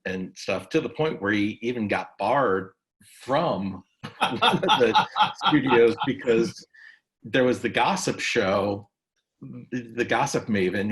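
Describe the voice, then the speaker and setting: A man's voice: fairly low pitch, slow, a bit bright. A man hosting a casual interview, telling anecdotes in a rambling, enthusiastic way. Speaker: interviewer, session host.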